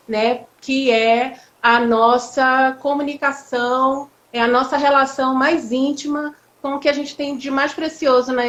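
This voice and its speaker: A woman, a law professor talking reflectively with many fillers and restarts in a live online interview. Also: on the high side. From Bahia, Brazil. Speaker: law professor, guest speaker. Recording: echoey, dull lo-fi audio.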